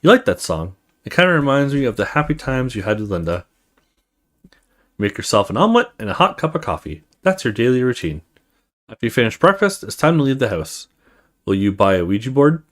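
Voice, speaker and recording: Lively-pitched man narrating a horror game. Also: brisk. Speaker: let's play host. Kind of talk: reading a horror visual novel aloud with casual asides.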